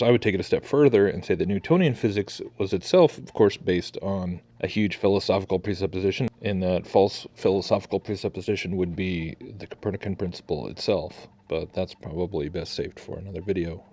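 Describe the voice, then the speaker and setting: Really low-voiced guy rambling casual commentary, kinda hesitant, dull lo-fi audio. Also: fairly quick, hardly any pauses, warm tone, slightly rough. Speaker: video commentator. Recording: dull lo-fi voice recording.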